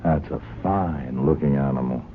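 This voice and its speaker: A man's voice: a bass voice, moderate pace. An actor playing a hesitant, folksy police sergeant in a radio mystery, falsely casual as he probes and insinuates. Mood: casually suspicious. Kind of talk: 1970s radio drama acting. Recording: muffled, noisy old radio recording.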